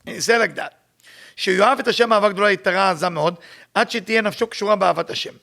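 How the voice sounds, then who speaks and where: Israeli guy teaching kabbalah, gravelly bright voice, keeps hammering the same words. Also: high voice. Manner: emphatic, repeats phrases for stress; rapid recitation when reading Hebrew text. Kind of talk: informal spoken teaching with storytelling.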